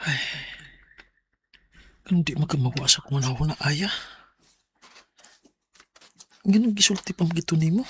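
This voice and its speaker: A man's voice: a little high, brisk, breathy edge, dry recording, heavily acted. An actor playing an easygoing, teasing young man who flusters easily, with a Cebuano accent, in radio drama style. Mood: flustered, disbelieving. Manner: animated, teasing, exclamatory. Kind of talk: Bisaya radio drama acting. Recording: noisy radio drama recording.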